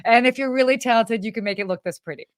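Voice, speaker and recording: A woman co-hosting a podcast in casual live chat, with an animated, giggly, exclamatory way of talking. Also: a little high, fast talker, clean tone, dry recording, clean studio sound. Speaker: podcast co-host.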